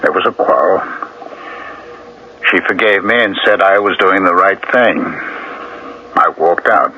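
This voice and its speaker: Deep-voiced, guilt-ridden man. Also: sharp tone, a little hiss or hum. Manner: hesitant, halting, earnest. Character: troubled, guilt-ridden married man confessing to a priest. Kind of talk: 1970s radio drama acting. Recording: muffled vintage radio recording.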